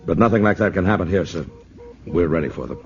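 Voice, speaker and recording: An actor playing a flustered male army officer who reports in rapid bursts, in a 1950s radio drama. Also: low voice, slight background noise. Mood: shaken. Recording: dull old radio broadcast.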